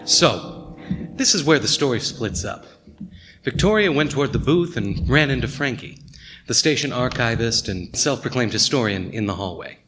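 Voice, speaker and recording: A man's voice: medium pitch, animated, normal speed, close to an everyday voice. A man acting as the storyteller of a radio play, giving scripted narration. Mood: explanatory. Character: male storyteller guiding listeners through a murder mystery. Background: music.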